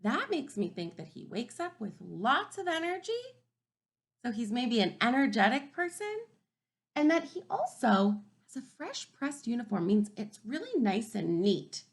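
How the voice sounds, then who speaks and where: Expressive woman teacher reading aloud to children. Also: fairly high pitch, unhurried, gravelly. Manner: simple wording, thinking aloud and posing questions to children; expressive, emphatic storybook delivery. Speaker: kindergarten teacher. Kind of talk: read-aloud lesson for young children.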